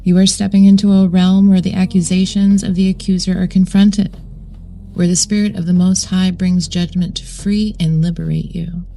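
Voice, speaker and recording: A woman reading a scripted guided meditation in a solemn, measured way, with deliberate phrasing. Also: fairly low pitch, level tone, gravelly, warm tone, low-quality recording. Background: background music.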